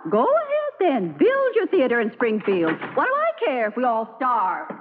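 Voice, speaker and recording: A woman's voice: high voice, wildly swooping pitch, normal speed, slight background noise. An actress playing a practical, skeptical wife and mother who scolds and frets, in 1940s radio drama style. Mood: exasperated. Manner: fussy, exasperated. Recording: muffled old radio broadcast, reverberant hall.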